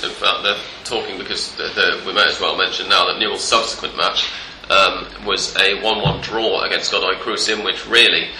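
A man hosting a casual football podcast with a stuffed-up, congested voice, talking in a relaxed, rambling way with many fillers, recorded in a living room. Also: low voice, normal speed, few pauses, echoey room, noisy background. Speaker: podcast host.

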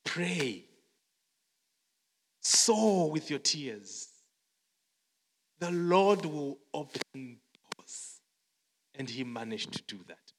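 A man's voice: fairly high pitch, very animated, very slow, pauses often. A man from Zimbabwe preaching a sermon, repeating phrases, quoting songs and restarting his words often. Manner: repetitive, song-quoting, stammering restarts. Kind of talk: sermon with testimony. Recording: long hall reverb.

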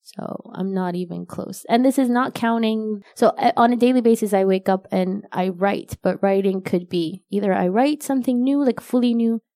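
A young woman whose first language is Arabic, chatting casually as a guest in an interview, self-deprecating and quick to laugh. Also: medium pitch, mellow, no echo, clean studio sound. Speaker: novelist guest.

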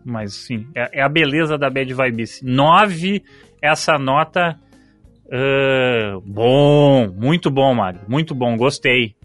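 A man's voice: medium pitch, animated, takes it slowly, fairly bright tone, soft background music. A man, co-hosting a podcast in loose, joking casual chat and swearing freely. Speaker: podcast co-host.

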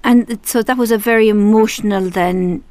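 A woman's voice: mid-range voice, steady tone, fairly quick, darkish tone, no echo. Dry-voiced woman radio host. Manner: friendly, encouraging, frequent affirmations. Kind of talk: radio interview hosting. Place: radio studio.

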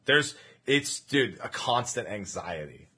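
A man hosting a casual group chat over an online voice call. His voice is bright and a little husky, and his pitch swings widely as he jokes and laughs along. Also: takes it slowly. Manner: animated, laughs often, jokes about the show. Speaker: podcast host. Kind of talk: casual group chat about a video game.

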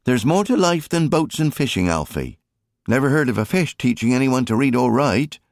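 A man's voice: mid-range voice, very animated, moderate pace, no echo, clean studio sound, pitched above the performer's own voice. A man voicing a firm, fond country wife and mother in an audiobook, scolding in folksy, no-nonsense speech. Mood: brisk, fond. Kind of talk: audiobook character acting.

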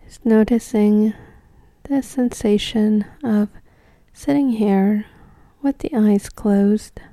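Woman, meditation guide, very soft dark mellow tone, slow pace, gentle and soothing, long pauses, dry close sound. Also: medium pitch, steady tone, dry recording.

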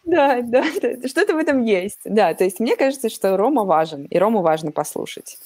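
A woman's voice: a little high, expressive, fairly quick, dark tone. A young woman from Ukraine, a podcast guest in casual chat who talks animatedly, laughs often and drops slang.

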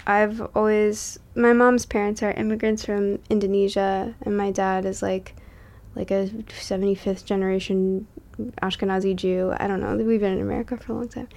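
A woman's voice: medium pitch, level tone, moderate pace, darkish tone. A young woman from Massachusetts, a musician guest on a podcast, talking casually with lots of likes and ums. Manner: chatty, laughs often, many likes and ums.

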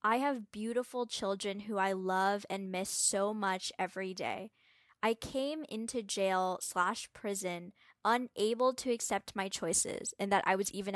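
A woman's voice: medium pitch, fairly bright tone, dry recording. Woman reading aloud, close dry sound. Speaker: guest reader and presenter.

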